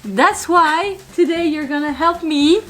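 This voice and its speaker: A woman from France with a French accent, chatting casually as a vlogger, bubbly and halting with fillers. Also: high voice, a bit bright. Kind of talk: casual chat.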